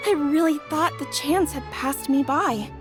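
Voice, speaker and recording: A high-voiced, gushing bride-to-be. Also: female voice, measured pace. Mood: awed. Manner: awed, gushing. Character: starry-eyed young bride-to-be customer. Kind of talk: game voice acting. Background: game music.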